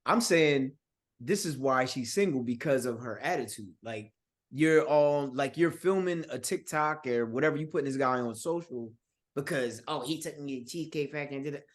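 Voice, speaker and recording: A man, a podcast guest, arguing his point in slangy, animated chat. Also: medium pitch, normal speed. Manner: animated storyteller, slangy, repeats "you know what I'm saying". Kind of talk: casual opinionated chat.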